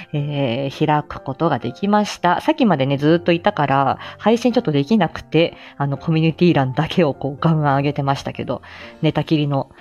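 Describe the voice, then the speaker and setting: A woman's voice: sits low, darkish tone, lo-fi sound. A middle-aged woman hosting a casual livestream from home, chatty, playful and self-deprecating in manner. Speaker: livestream host.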